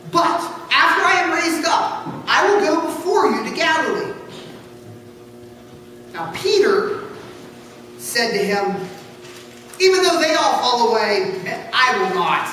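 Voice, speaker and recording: A woman reading scripture aloud in church, solemn and deliberate, with dramatic phrasing. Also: on the high side, fairly bright tone. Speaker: scripture reader. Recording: echoey hall from far off, faint noise.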